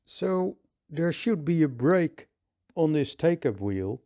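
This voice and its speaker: A man with a Dutch accent, calmly thinking aloud as he works through a hands-on repair tutorial. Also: a little high, takes it slowly. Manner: calm, thinking aloud while working. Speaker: repair technician.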